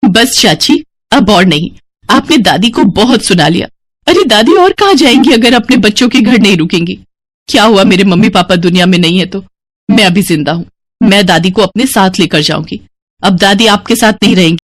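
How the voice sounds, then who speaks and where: A woman's voice: mid-range voice, lively intonation, normal speed, close to an everyday voice. A woman voicing a caring, protective young granddaughter in a moral story. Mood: indignant.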